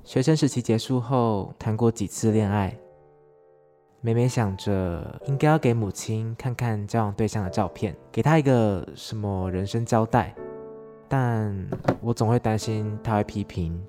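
A man's voice: fairly low pitch, unhurried, over music. A man reading a personal essay as scripted literary narration.

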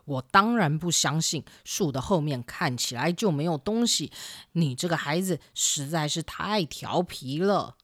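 Woman acting a stern, scolding villager, pitched down. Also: animated, measured pace, fairly bright tone, no room sound, clean studio sound, heavily acted. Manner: scolding, dismissive. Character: stern, scolding adult villager who distrusts children.

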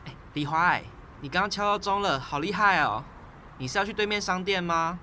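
A young man voicing a chatty, teasing high school boy who talks eagerly. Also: a little fast, sharp tone, a little hiss or hum.

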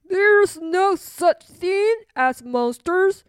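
A woman's voice: very high-pitched, lively intonation, extremely slow, no echo, studio quality, voice pushed up, heavily acted. A woman voicing a patient but firm storybook king and father, emphatic and reassuring. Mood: exasperated, reassuring.

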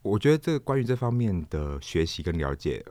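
A man with a Taiwanese accent answering casually as an interview guest, halting and repeating words as he thinks. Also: low voice, big pitch swings, mellow, no room sound. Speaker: interview guest, stage performer.

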